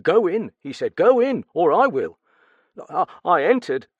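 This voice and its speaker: A man's voice: high voice, sing-song, unhurried, husky, dry recording, narrow, tinny audio, voice pushed up, full character voice. Picture a male reader putting on a frightened male servant, stammering through every sentence. His voice sits well above the reader's own, thin and anxious. The sound is close and dry. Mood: nervous.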